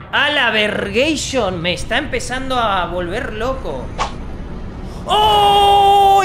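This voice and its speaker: A young man whose first language is Russian, speaking with a mix of Argentine, Mexican and Spain Spanish accents. He reacts to a video at home, talking into a headset mic, and often breaks into excited shouts. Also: high voice, noisy background. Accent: mixed Argentine, Mexican and Spain Spanish. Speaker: YouTube reactor. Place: home streaming room with gaming chair.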